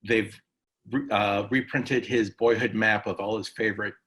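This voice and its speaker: Male host for a casual interview session, conversational delivery, halting and prone to false starts. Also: lowish voice, fairly even intonation, fairly bright tone. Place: recorded virtual session.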